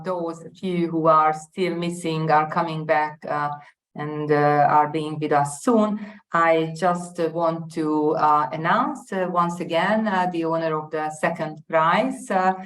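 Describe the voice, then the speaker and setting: A woman moderating a conference session, speaking formally and haltingly with many pauses. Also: low voice. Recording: echoey room.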